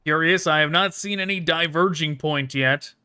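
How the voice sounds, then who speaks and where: A man's voice: fairly high pitch, moderate pace, bright, forceful tone, smooth, no echo, clean studio sound. A man giving casual gameplay commentary, rambling and thinking aloud as he plays. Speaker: gaming YouTuber.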